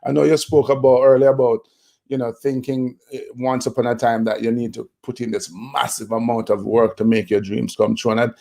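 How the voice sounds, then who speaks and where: A Jamaican man in his fifties, a motivational speaker, telling his life story in a relaxed interview with many fillers and restarts. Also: medium pitch, a little fast, creaky. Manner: reflective, with frequent fillers and restarts.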